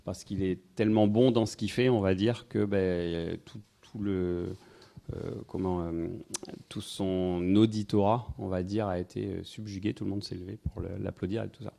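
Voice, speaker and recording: A man with a low, mellow voice talks to a festival audience, full of hesitations and filler sounds. He rambles loosely from point to point and drops in dry, wry asides. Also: fairly even intonation, moderate pace, gravelly. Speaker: speaker giving a talk on incense history. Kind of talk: informal public talk to a live audience. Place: festival evening event, indoors.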